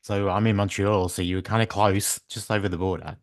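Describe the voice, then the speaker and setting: Croaky low-voiced male interviewer. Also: level tone, brisk, no echo, studio quality. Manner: friendly, hesitant, many ums and restarts. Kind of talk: casual interview questions.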